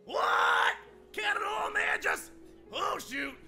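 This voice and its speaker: A man playing a loud, bossy, folksy old man who hollers and scolds in an audio drama. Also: very high voice, sing-song, slow, soft background music, exaggerated voice. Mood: agitated, hollering. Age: older.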